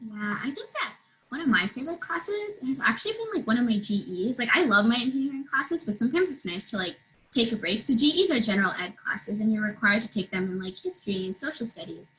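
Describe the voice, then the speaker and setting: Young woman, engineering student, fast talker, upbeat, casual fillers. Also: a little high, sing-song, fairly bright tone, a little hiss or hum, low-quality recording. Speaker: engineering student panelist and moderator. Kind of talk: casual panel talk on a video call.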